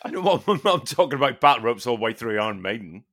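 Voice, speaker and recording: Bloke cohosting a podcast, bright sharp voice, dry teasing humor. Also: male voice, medium pitch, big pitch swings, fast. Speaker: podcast co-host. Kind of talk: casual comic chat.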